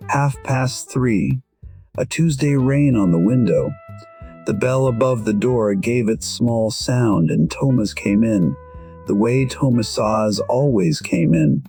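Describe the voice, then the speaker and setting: A man playing a gentle musician in his sixties, quietly and wistfully telling his own story as audio drama narration. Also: medium pitch, takes it slowly, soft background music. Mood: reflective, tender.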